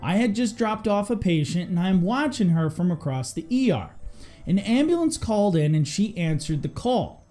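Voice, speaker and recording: A mellow voice with a very lively, swinging intonation delivers scripted instruction. The speaker hosts as an EMS instructor, emphatic and direct, with faint music underneath. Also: medium pitch, moderate pace. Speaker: EMS instructor and video host.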